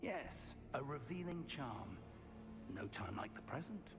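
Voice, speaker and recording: An actor playing a composed, inquisitive professor and wizard mentor, speaking in a measured, refined, thoughtful way in a video game. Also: male voice, mid-range voice, big pitch swings, music in the background. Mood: thoughtful, resolute. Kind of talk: video game character acting.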